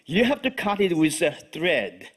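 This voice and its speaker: A man with a Chinese accent, whose first language is Chinese, giving a live poetry reading in a halting way with frequent fillers. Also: a little high, wildly swooping pitch, a bit bright, slightly rough. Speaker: poet.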